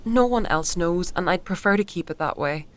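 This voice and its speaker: An actress playing an earnest, burdened woman in naturalistic dark fantasy audio drama dialogue. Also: fairly low pitch, animated, normal speed, lightly acted. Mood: sombre, confiding.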